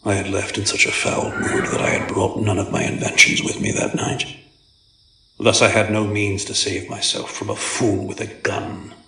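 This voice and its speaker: A man's voice: lowish voice, fairly even intonation, sharp tone, reverberant, recorded from a distance, low-quality recording. A man voicing a proud, brooding, vengeful supervillain who recounts his youth in grave, formal narration for a comic dub. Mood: grim, haunted. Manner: grave, deliberate, formal, theatrical.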